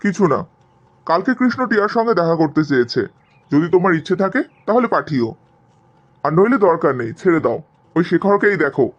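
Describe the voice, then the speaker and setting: A man's voice: sits high, big pitch swings, fairly quick, long pauses, lo-fi sound. A man playing a sarcastic, exasperated middle-aged husband and father in a cartoon drama, grumbling and arguing. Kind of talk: Bengali cartoon family drama acting.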